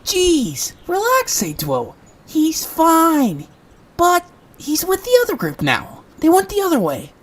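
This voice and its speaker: A woman's voice: very high voice, wildly swooping pitch, slow pace, a little hiss or hum, heavily acted. A woman voicing a small, whiny, childlike tagalong in an audio drama, with an exclamatory delivery. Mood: matter-of-fact. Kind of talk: animated audio drama acting.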